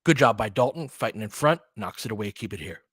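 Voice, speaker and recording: A man's voice: lowish voice, lively intonation, quick pace, fairly bright tone, husky, dry recording, studio quality. A man doing casual live basketball play-by-play in short clipped phrases, with informal slang and a fan's partisan reactions. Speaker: play-by-play commentator.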